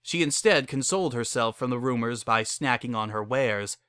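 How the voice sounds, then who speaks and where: A man's voice: medium pitch, moderate pace, a bit bright, smooth, no echo. A man narrating a fan fiction story as a scripted dramatic reading.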